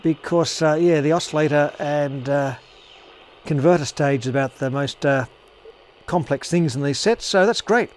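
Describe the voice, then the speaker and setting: A man's voice: fairly high pitch, normal speed. A man giving a casual workshop demonstration, thinking aloud with some hesitation. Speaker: hobbyist radio repairer and presenter.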